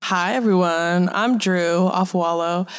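A woman's voice: fairly low pitch, steady tone, slow pace, smooth. A woman, a comedy creator, introducing herself with a wry, joking manner on a live panel.

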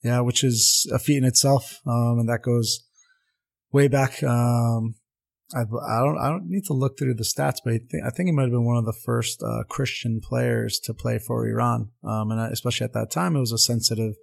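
A man's voice: medium pitch, fairly even intonation, moderate pace, creaky. A young man whose first language is Armenian, a soccer coach speaking earnestly as an interview guest, with many fillers and restarts. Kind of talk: casual interview talk.

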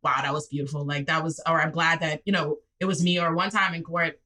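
Animated Bahamian woman in her twenties. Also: brisk. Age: 20s. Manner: lively, swings into high excited re-enactments. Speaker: public defender guest. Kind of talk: animated storytelling in casual chat.

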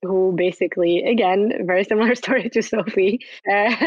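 A woman's voice: medium pitch, normal speed, no room sound, dull audio. A woman in her thirties from Morocco, a company co-founder answering interview questions in a talkative way and leaning on "basically". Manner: talkative, repeats words and fillers like "basically".

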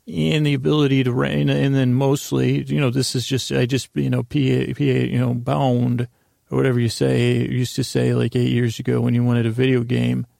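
A man hosting a sleep podcast, talking in a creaky, meandering, self-deprecating monologue full of tangents. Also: mid-range voice, monotone, moderate pace, mellow, dry recording, studio quality. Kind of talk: rambling monologue.

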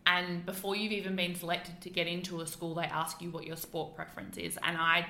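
Young woman guest, British-Australian accent, bright lowish voice, chatty and filler-heavy, echoey room. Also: fairly quick. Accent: mixed British and Australian. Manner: chatty, laughs often, many fillers. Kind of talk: casual interview chat.

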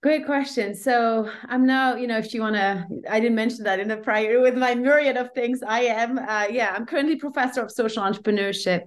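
A woman's voice: a little high, a little fast, few pauses. A woman from Belgium, speaking reflectively as a guest in a conversational interview and often searching for her words. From Brussels, Belgium. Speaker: professor, podcast guest.

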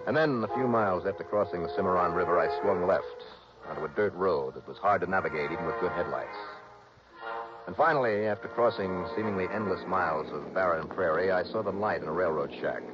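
An actor playing a tough male insurance investigator who narrates a 1960s radio drama in a brisk, clipped, hard-boiled way. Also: low-pitched, close to an everyday voice. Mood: narrating. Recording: dull old radio broadcast with music.

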